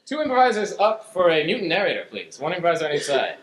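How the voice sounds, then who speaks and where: High-pitched man hosting in an echoey room. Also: wildly swooping pitch. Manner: hesitant, halting delivery. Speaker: improv show host. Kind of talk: live improv MC explaining games. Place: theatre stage in front of audience. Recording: echoey live stage room.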